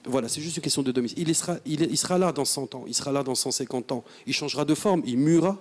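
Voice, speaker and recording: Panel guest: middle-aged man, earnest and reflective, mid-pitched, mixes French and Moroccan Arabic. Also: fast talker. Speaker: panelist, radio host. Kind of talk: panel discussion.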